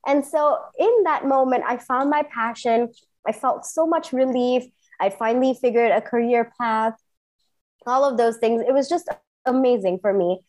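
Woman from Singapore, thirties, interview guest, earnest and enthusiastic, somewhat high voice, emphatic storytelling. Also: normal speed, darkish tone. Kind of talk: personal storytelling in conversation.

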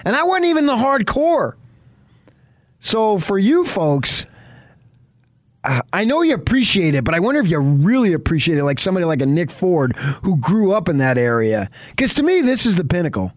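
A man's voice: high voice, sing-song, normal speed, no room sound, clean studio sound. A middle-aged man with a New Jersey accent, talking sports off the cuff in a rambling, emphatic, conversational way as a radio host. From New Jersey, later Arizona. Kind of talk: unscripted sports talk.

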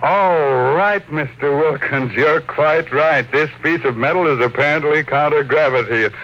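An actor playing a ruthless, ambitious tycoon, curt and commanding, in an old-time radio drama. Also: male voice, a little high, a little slow. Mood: brisk, commanding. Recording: noisy, muffled old radio broadcast.

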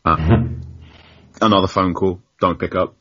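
A man's voice: sits low, steady tone, a little slow, a bit bright, slightly rough, muffled recording. A young man, a journalist guest telling stories animatedly on a podcast, laughing often and acting out quotes. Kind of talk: casual podcast conversation.

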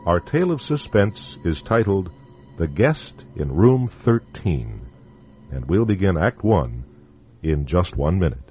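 A man with a very deep voice hosts and narrates a vintage radio suspense show. He sounds theatrical and knowing, with pauses for effect and a pitch that swings widely. The recording is dull and muffled, with faint music beneath. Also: big pitch swings, dark tone, slightly rough. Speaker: host narrator. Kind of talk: radio drama host narration.

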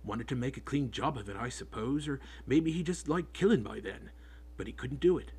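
A man reading an audiobook, voicing a big rough-spoken groundskeeper who talks in homely, clipped speech. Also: medium pitch, expressive, moderate pace, darkish tone. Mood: worried storytelling. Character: big rough-spoken male groundskeeper, gruff but kind. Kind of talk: audiobook character voice.

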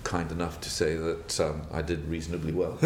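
An older man from South Africa, a banker who tells his life story in an interview, speaking in a halting, thoughtful way and chuckling now and then. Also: completely flat delivery. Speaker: guest, banker. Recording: roomy with faint rumble.

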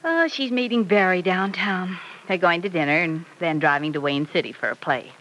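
A woman's voice: lowish voice, lively intonation, normal speed, fairly bright tone, poor audio. Lilting, motherly radio-serial figure. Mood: concerned. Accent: American. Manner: motherly, teasing. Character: affectionate, teasing mother of a grown daughter. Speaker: radio drama actress. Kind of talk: old-time radio serial acting. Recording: vintage radio recording.

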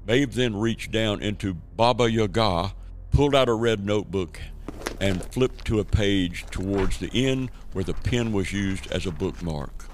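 A man's voice: on the low side, unhurried, noisy background. A man narrating a scripted radio drama as its storyteller.

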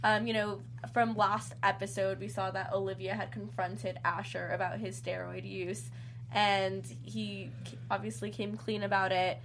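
A woman's voice: mid-range voice, little pitch movement, normal speed, slight background noise. A woman from Texas hosting a talk show, asking long questions and recapping episodes in an upbeat way. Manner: upbeat, asks long questions, laughs easily. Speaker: host.

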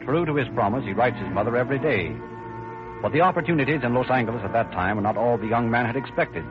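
A man's voice: mid-range voice, expressive, quick pace. A man announces and narrates in the dramatic style of 1930s radio. His mid-range voice is emphatic and scripted, heard over music on a muffled old recording.

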